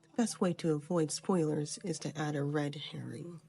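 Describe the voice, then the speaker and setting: A woman voicing a composed, clever scholar from a game, with a measured, dry, faintly condescending fan-dub delivery. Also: low voice, steady tone, creaky, warm tone, husky, full character voice.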